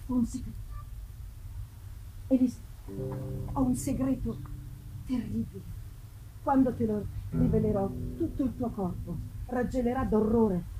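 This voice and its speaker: A woman voicing a tormented, dread-filled young gentleman, grave and theatrical, in a first-person gothic novel reading with long pauses. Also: on the high side, music in the background, close to an everyday voice, low-quality recording. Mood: grave, confessing. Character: tormented young gentleman haunted by dread, confessing to his beloved.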